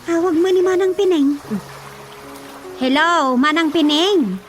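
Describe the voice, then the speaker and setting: A woman's voice: very high voice, slow pace. An actress with an Ilocano Filipino accent playing a friendly village woman in a radio drama. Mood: chatty. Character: Rosa, friendly woman active in village farm projects. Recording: music bed behind the voice.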